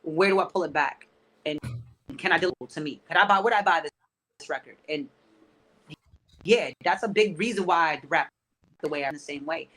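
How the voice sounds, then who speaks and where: A man's voice: a little high, brisk, pauses often, sharp tone, rough recording. A man, a rapper guest on a podcast, talking casually in loose street slang.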